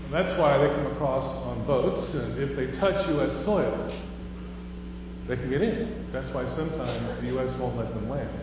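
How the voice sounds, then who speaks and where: A man's voice: mid-range voice, normal speed. A man from Houston giving a conversational chapel talk to a live audience, telling stories and rising to emphatic questions. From Houston, Texas. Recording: echoey hall from far off, dull with hum.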